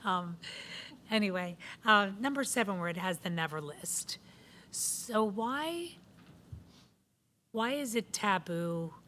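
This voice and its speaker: A woman's voice: on the low side, a bit bright, slight background noise. A woman on a school board, losing her voice, pressing a question again and again. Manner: probing, presses questions repeatedly. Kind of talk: meeting discussion.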